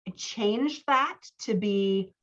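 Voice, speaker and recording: A woman's voice: mid-range voice, slow, a bit bright, muffled recording. A woman working as a writing coach, going through written excerpts in a conversational, self-deprecating way. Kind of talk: workshop critique, reading excerpts aloud and commenting.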